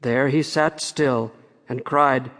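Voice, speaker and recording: A man narrating a fairy-tale audiobook in a measured storytelling way, with long reverb on the recording. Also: mid-range voice, steady tone, fairly bright tone.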